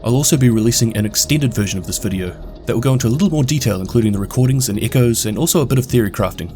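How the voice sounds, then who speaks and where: A man with a New Zealand accent narrating a scripted lore recap in a brisk, steady delivery. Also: mid-range voice, faint music behind. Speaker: video game lore narrator.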